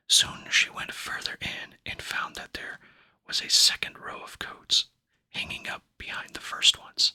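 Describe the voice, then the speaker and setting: A man whispering an ASMR reading of a children's fantasy novel, gentle and unhurried, with pauses between phrases, close to the microphone. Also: no room sound. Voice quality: breathy unvoiced whisper. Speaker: ASMR book reader.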